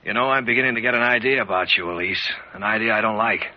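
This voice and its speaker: An actor playing a sullen, suspicious young small-time crook in 1940s radio crime drama, edgy and accusing. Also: male voice, lowish voice, quick pace, soft background music, natural voice. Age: young adult. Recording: dull vintage radio recording.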